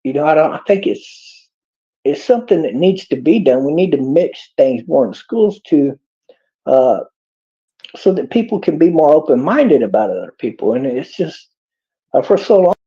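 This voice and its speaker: A man's voice: on the high side, very animated, normal speed, warm tone. A man with a Texas accent giving a rambling, preachy monologue in a folksy drawl. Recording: dull lo-fi clip.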